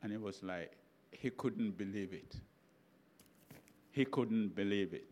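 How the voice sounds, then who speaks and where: A man's voice: on the low side, slow pace, darkish tone, a little hiss or hum. An older man with a Ghanaian accent, telling a chatty anecdote in a sermon as a preacher. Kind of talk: sermon storytelling.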